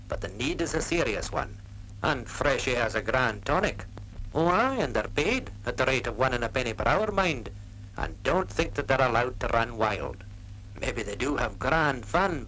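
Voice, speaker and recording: A man's voice: medium pitch, a bit bright. A Scottish man narrating a 1940s public information film in a folksy, persuasive manner. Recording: faintly noisy 1940s film soundtrack.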